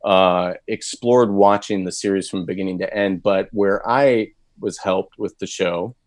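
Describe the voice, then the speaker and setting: A man's voice: low-pitched, fairly even intonation, a little slow, no echo, studio quality. A man, a voice actor, talking casually and thoughtfully in an interview, with many fillers and restarts. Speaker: voice actor guest.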